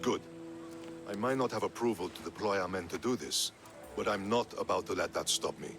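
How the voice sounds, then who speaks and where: An actor playing a proud, curt, ruthlessly pragmatic Athenian general in a video game cutscene. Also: male voice, sits low, fairly quick, creaky, over music. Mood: haughty, determined. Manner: curt, haughty.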